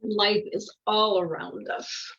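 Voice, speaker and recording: An older woman reading a picture book aloud, unhurried, with casual explanatory asides. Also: mid-range voice, fairly even intonation, fairly bright tone. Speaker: children's story reader. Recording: dull, muffled lo-fi sound.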